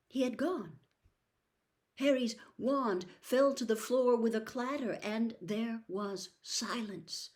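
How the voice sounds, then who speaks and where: Slow, dramatic woman storyteller. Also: mid-range voice. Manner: expressive, suspenseful phrasing with dramatic pauses. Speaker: audiobook reader, host.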